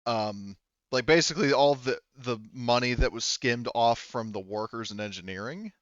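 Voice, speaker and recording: A man acts as game master in a casual tabletop session. His mid-range voice lays out details haltingly, circling back to rephrase. The sound is close and dry, slightly dull and lo-fi. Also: medium pitch, no room sound. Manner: halting, explanatory, often rephrasing.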